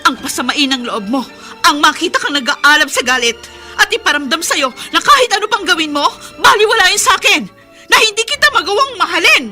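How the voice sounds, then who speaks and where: A woman's voice: very high voice, animated, fairly quick, pushed and bright, faint music behind, exaggerated voice. A woman playing a wounded, defiant young daughter in a radio drama, with an emotional, melodramatic delivery. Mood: bitter, spiteful. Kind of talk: Tagalog radio drama acting.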